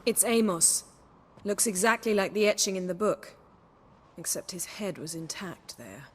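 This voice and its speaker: A woman's voice: medium pitch, animated, moderate pace, slightly rough. A woman playing a cool, scholarly sorceress in a video game, speaking in an articulate, precise and self-assured way. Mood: analytical.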